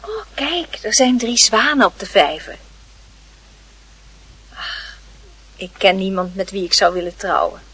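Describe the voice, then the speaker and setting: An actress playing a wistful young aunt in a radio play, musing and hesitant. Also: female voice, mid-range voice, sing-song, unhurried, long pauses, a bit bright, husky, heavily acted. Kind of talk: Dutch radio play acting.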